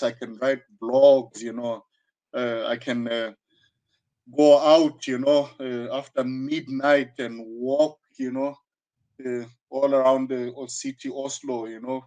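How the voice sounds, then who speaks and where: A sixty-year-old man with a southern African accent, reflecting in conversation as an interview guest, halting and often repeating himself with frequent "you know". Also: mid-range voice, a little mellow, poor audio. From Zambia, born in South Africa. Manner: halting, searching delivery with frequent repetitions and 'you know'. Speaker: interview guest, karate teacher.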